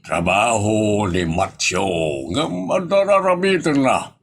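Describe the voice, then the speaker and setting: A man's voice: medium pitch, slow pace, vocal fry, a little hiss or hum. A man playing a reluctant, grumbling fellow in an Ilocano comedy drama, with an Ilocano accent and a hesitant, drawling delivery. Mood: defensive.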